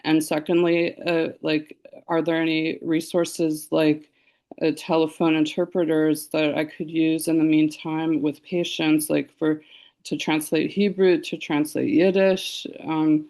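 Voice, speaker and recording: A woman's voice: low-pitched, level tone, measured pace, darkish tone. A woman doctor asking a long question in a webinar over a video call, hesitant and full of restarts. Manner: hesitant, many restarts and fillers.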